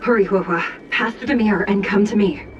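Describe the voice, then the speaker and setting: A woman playing a stern, composed judge in a video game, speaking in a formal, solemn, measured way. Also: mid-range voice, lo-fi sound, background noise, natural voice. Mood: calm, formal.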